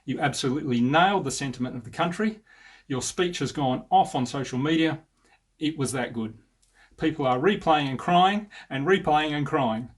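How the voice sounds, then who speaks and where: Slightly husky middle-aged Australian man. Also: fairly high pitch, expressive, normal speed. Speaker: air traffic controller. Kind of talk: reflective personal narration.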